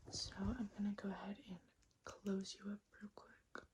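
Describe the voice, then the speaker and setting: A woman whispers in a soft, breathy voice at a medium pitch, close to the microphone. She speaks as a gentle technician in an ASMR roleplay, unhurried and reassuring. The recording is clearly noisy, with small clicking sounds. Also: monotone. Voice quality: breathy whisper, mixed with soft low-volume speech. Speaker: ASMR roleplay performer as a robot repair technician.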